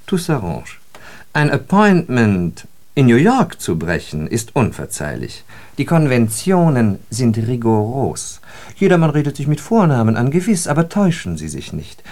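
An actor playing a courteous, worldly Parisian engineer telling an anecdote in a radio drama. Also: male voice, medium pitch, natural voice. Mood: admonishing, courteous. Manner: animated, courteous, worldly, pointed. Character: urbane Parisian male civil engineer, courteous raconteur. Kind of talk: radio drama monologue, storytelling.

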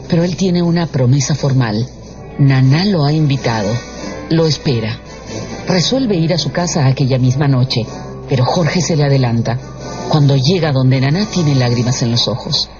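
A man narrating a literary radio drama in a measured, theatrical storytelling style. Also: medium pitch. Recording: muffled radio production with loud music.

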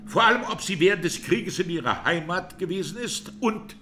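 An actor playing a formal, insistent lawyer addressing the court in a radio drama. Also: male voice, high voice, expressive, normal speed, bright, forceful tone, a little hiss or hum.

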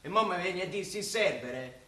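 A man's voice: high-pitched, expressive, moderate pace, pushed and bright. An actor in a radio play voicing a sarcastic, resentful young working-class man with a Roman accent. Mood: resentful, mocking. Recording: echoey room, faint noise.

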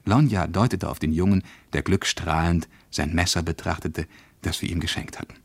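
A man playing a reflective first-person narrator recounting a journey in a radio play, with a measured, precise literary delivery. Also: low-pitched, no room sound.

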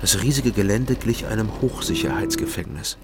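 An actor as a dry, low-voiced detective narrator. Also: male voice, normal speed, lightly acted. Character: dry male ex-detective narrating his own story. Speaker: first-person narrator and detective. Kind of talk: scripted radio drama narration. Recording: radio play over loud music score.

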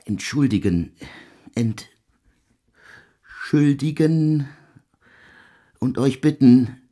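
A man playing a brooding, doomed composer who addresses his guests in halting, murmured, archaic solemnity in a radio drama. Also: mid-range voice, lively intonation, warm tone. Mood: apologetic, halting. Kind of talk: German radio drama acting.

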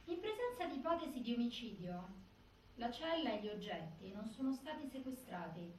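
A woman's voice: a little high, sing-song, moderate pace. An actress playing an accusing woman who makes a formal, pointed argument in a theatrical documentary monologue. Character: accusing woman arguing a flawed investigation. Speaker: stage actress presenting a legal objection. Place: indoor stage, picked up from afar. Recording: distant, echoey, noisy theatre recording.

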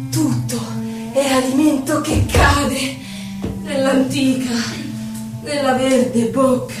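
A poet's questioning, mournful inner voice; high register, theatrical verse, distant reverberant recording with clear music. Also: female voice. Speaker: stage actress. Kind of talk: theatrical poetry recitation. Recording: echoey hall, distant mic, music.

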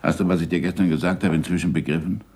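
An actor playing a gruff, impatient older scholar who teaches with deliberate, probing questions in a 1960s German radio drama. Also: male voice, low-pitched, steady tone. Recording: noisy old radio drama recording.

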